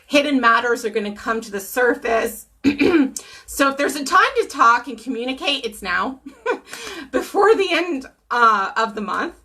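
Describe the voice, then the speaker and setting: A woman giving a casual live tarot reading. She is hoarse from a cold, clears her throat often, and uses many ums. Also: a little high, animated, unhurried, pushed and bright. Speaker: tarot reader.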